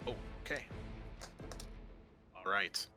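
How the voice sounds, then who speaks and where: A man's voice: slow pace, music in the background. A man working as game master, casually narrating scenes and talking through rules with some swearing.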